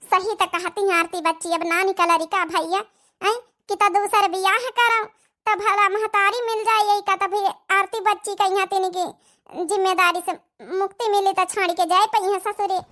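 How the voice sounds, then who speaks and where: Cartoon sister-in-law played by a woman, married villager, matter-of-fact and persuasive, extremely high voice, Awadhi accent. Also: flat delivery, moderate pace, sharp tone. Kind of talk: dramatic cartoon dialogue in dialect.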